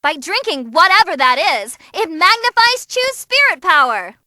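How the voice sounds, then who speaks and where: A woman voicing an excitable young female demon who commentates on tournament fights in a bubbly, theatrical way for a game. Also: very high voice, moderate pace, no room sound, clean studio sound, heavily acted. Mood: brisk, emphatic.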